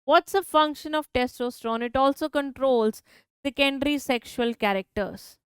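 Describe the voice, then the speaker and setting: A woman with a high-pitched voice and an Indian English accent, teaching a biology lecture and answering her own questions. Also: normal speed, clear, resonant tone, no echo, studio quality. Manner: asks questions then answers them herself. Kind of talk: online exam-prep lecture.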